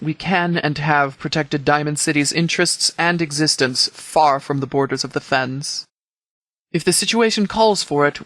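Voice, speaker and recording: A man playing a stern, principled post-apocalyptic sheriff in a video game, speaking in a formal, measured, articulate way. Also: fairly high pitch, fairly bright tone, no echo, close to an everyday voice. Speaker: voice actor in a game mod. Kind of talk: scripted video game character dialogue.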